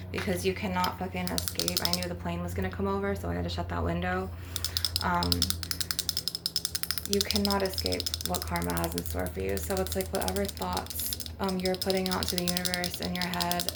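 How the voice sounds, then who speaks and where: A woman's voice: fairly low pitch, creaky. A middle-aged woman giving a casual, rambling, sweary ASMR monologue in a blunt manner. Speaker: ASMR creator leading an energy-clearing session. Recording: noisy, clicking and tapping sounds. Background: tapping, clicking and rattling on glass or crystal.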